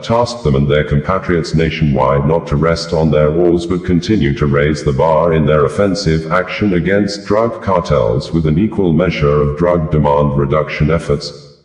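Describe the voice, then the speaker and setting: Very deep-voiced man reading news. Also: a little mellow, echoey room. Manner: measured, formal delivery. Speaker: news reader. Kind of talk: reading news reports aloud.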